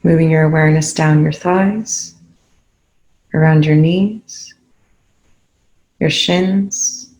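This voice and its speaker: A meditation guide speaking calmly and instructively, leaving long pauses between phrases. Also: mid-range voice, low-quality recording.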